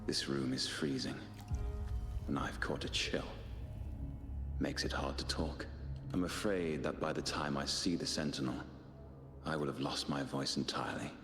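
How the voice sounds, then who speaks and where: A defiant imprisoned poet in a video game, with an exceptionally deep voice. He is mock-polite and quietly sardonic. The sound is echoey, with clear music underneath. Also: steady tone, moderate pace, pauses often. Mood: mock-apologetic.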